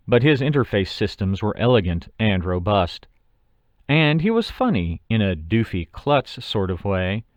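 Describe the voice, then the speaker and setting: A man's voice: on the low side, dry recording, dull audio. A man reading his own short story aloud as scripted first-person audiobook narration.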